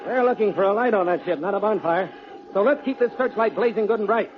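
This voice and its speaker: An actor playing a ruthless, brusque gangster boss in 1940s radio style. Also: male voice, high-pitched, fast talker, natural voice. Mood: smug, menacing. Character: ruthless male gangster boss sabotaging ships. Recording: muffled, noisy old radio recording.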